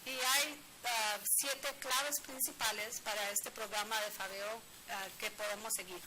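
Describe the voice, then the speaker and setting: A woman's voice: fairly high pitch, steady tone. Woman interpreting, noisy recording. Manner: brisk, with fillers and restarts. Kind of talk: consecutive interpreting. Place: studio set, seated.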